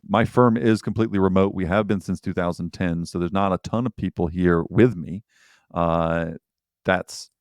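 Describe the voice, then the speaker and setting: A man's voice: low-pitched, a little mellow, smooth, dry recording, clean studio sound. A man co-hosting a podcast, talking in a casual, reflective and earnest way. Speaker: podcast co-host, firm owner. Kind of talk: casual chat.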